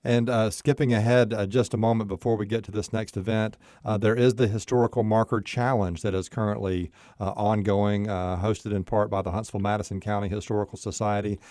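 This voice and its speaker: Deep-voiced man hosting local radio. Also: steady tone, a little fast, few pauses, a little mellow, dry recording, studio quality. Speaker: radio show host. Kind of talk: conversational local radio interview.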